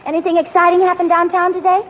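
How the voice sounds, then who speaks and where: A woman's voice: very high voice, level tone, a little hiss or hum. An actress playing a curious young wife who keeps asking her husband questions, in 1940s radio sitcom style. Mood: probing. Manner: persistent questioning, brisk. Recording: dull lo-fi 1940s radio recording.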